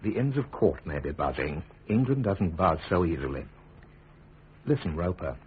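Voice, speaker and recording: An actor playing a principled, dry-witted middle-aged statesman and firm father in a measured radio drama style. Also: low voice, lively intonation, slight background noise. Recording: tinny, band-limited radio drama recording.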